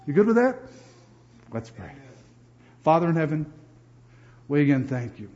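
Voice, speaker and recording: A man from New Jersey preaching a sermon as pastor, animated and often repeating words as he builds emphasis. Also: fairly high pitch, normal speed, lo-fi sound.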